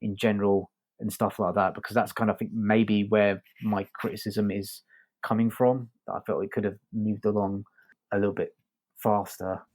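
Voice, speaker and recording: A man's voice: on the low side, flat delivery, normal speed, a little mellow. A man co-hosting a podcast, talking casually through a review with hesitant, tentative phrasing. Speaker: podcast co-host reviewing audio fiction.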